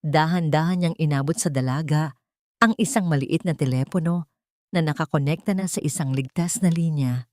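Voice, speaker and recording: A woman narrating a scripted dramatic story in a measured, solemn manner. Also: deep voice, a little mellow, no echo, clean studio sound.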